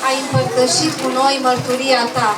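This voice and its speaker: A woman's voice: high-pitched, level tone, natural voice. A woman playing a polite, earnest television field reporter in a scripted puppet show news interview, formal in manner. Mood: courteous, inquiring. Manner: courteous, formal. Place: echoey live puppet show with audience. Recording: echoey, distant, noisy, crowd behind.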